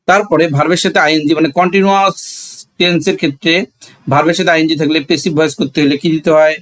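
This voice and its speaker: Male teacher, Bangladeshi accent, fairly high voice. Didactic classroom delivery with repeated key terms and frequent check questions. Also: fairly even intonation, fast. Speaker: English grammar teacher. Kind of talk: step-by-step classroom lesson.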